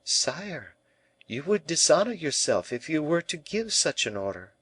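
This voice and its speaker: A man's voice: fairly high pitch, sing-song, unhurried, a bit bright, husky, dry recording, pitched above the performer's own voice, heavily acted. A man voicing an earnest, devoted young noblewoman of a royal court, pleading in formal speech for an audiobook.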